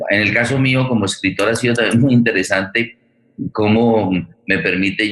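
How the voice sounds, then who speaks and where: A man moderating a forum and reflecting as a writer, halting and repeating words as he searches for phrasing. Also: lowish voice, a bit bright, low-quality recording.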